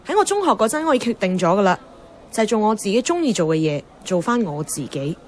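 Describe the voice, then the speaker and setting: A woman's voice: mid-range voice, very animated, quick pace, natural voice. An actress playing an outspoken young woman in a Hong Kong Cantonese radio drama, blunt and teasing, moving between dialogue and inner narration. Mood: breezy, defiant. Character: outspoken, headstrong young woman, a radio host chasing her dream. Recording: music under the voice.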